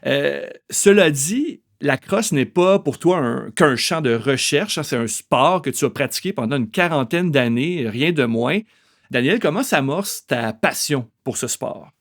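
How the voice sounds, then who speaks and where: A man's voice: medium pitch, expressive, a little slow. A male podcast host, asking questions politely with frequent hesitations and fillers. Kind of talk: interview hosting.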